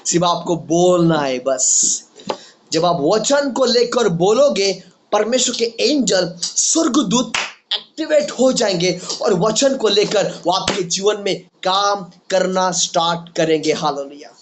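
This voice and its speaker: A man's voice: sits high, lively intonation, takes it slowly, background noise. A man preaching a fervent sermon as pastor, punctuating with repeated hallelujahs and English phrases.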